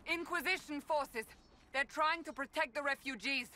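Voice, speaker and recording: A woman voicing a stern, commanding female warrior with clipped, forceful delivery in a fantasy video game. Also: high-pitched, normal speed, slight background noise. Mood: urgent, commanding.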